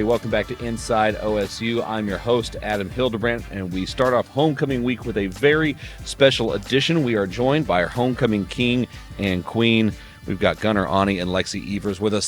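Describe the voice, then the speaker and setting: A man hosting a university podcast, asking friendly, quick questions with the odd "uh". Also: fairly low pitch. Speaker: podcast host. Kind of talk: upbeat campus podcast interview.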